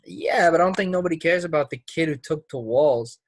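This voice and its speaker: A man's voice: fairly high pitch, brisk, no room sound, close to an everyday voice. A man acting a troubled, defensive teenage boy in a play readthrough, guarded, with folksy ungrammatical phrasing. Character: troubled, defensive teenage boy, small-town drama student. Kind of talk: play readthrough, dramatic dialogue.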